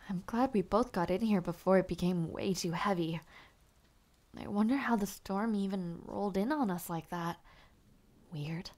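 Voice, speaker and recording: A young woman playing a gentle, shy noblewoman who aspires to knighthood, speaking softly and haltingly in an intimate audio roleplay. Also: medium pitch, natural voice. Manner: soft, hesitant, halting speech.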